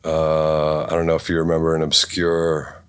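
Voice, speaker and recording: A man's voice: a bass voice, monotone, normal speed, clear, resonant tone, no echo. A middle-aged man from New England, a podcast guest in casual chat, reminiscing in a laid-back, rambling way with many fillers. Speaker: podcast guest, apparel designer.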